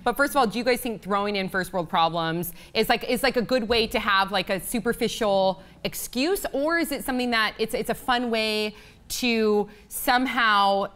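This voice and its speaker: A woman's voice: fairly high pitch, normal speed, a bit bright. A woman hosting a casual, chatty web talk show and talking with a panel.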